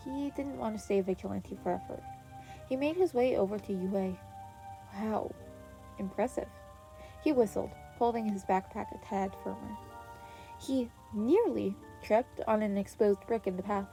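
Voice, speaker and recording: Mellow woman narrating a story over music. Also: mid-range voice, low-quality recording. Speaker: podfic narrator. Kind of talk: expressive scripted story narration. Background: background music.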